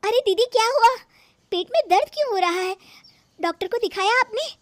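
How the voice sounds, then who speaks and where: Woman playing sweetly polite young daughter-in-law, high voice, earnest, cartoon moral tale. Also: lively intonation, a little fast, no room sound. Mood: alarmed. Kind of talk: cartoon moral-story voice acting.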